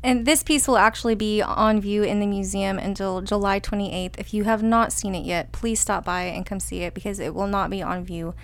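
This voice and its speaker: A woman's voice: mid-range voice, steady tone, moderate pace, few pauses. A woman hosting a podcast, reading a polished introduction and asking an interview guest questions. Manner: polished, reads announcements smoothly. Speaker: podcast host.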